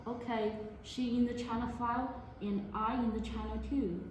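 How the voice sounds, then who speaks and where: Very echoey recording, woman, fairly high voice, product demo, halting non-native phrasing. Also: steady tone, darkish tone. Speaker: product demonstrator. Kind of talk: product demonstration to camera. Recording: very echoey room, faint noise.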